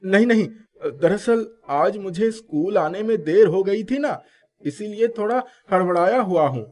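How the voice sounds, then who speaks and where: A man voicing a kind, humble schoolboy in an animated moral story, speaking politely and expressively. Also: high-pitched, unhurried, voice pushed up. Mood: flustered. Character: kind, earnest schoolboy, humble and easily flustered.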